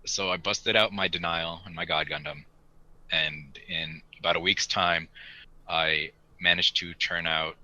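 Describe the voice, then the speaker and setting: A man's voice: deep voice, steady tone, measured pace, sharp tone. A man co-hosting a hobby podcast, chatting casually and enthusiastically in an informal way. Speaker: podcast co-host. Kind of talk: casual hobby chat about model kits.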